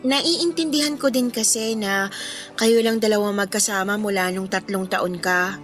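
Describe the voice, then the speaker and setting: An actress playing a considerate, candid young daughter with a Filipino accent in a radio drama. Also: female voice, mid-range voice, moderate pace, a bit bright, faint music behind, natural voice. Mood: tender, curious.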